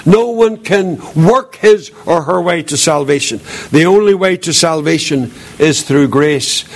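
A man's voice: a little high, a little slow, vocal fry. A man delivering an evangelical sermon as a preacher, earnest and solemn, repeating key phrases for emphasis.

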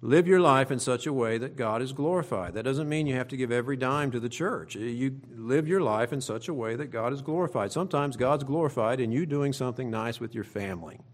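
Middle aged preacher guy w an oklahoma accent, plain spoken and folksy sermon, mid pitched voice, gets emphatic. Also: fairly quick, few pauses. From Oklahoma, United States. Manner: emphatic, with rhetorical questions. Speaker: pastor. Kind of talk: sermon to own congregation.

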